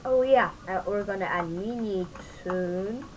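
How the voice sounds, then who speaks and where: A woman giving a casual software tutorial in an informal, halting way, often interrupting and talking to herself. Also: mid-range voice, heavy background noise. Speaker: tutorial host. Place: at home, at a computer.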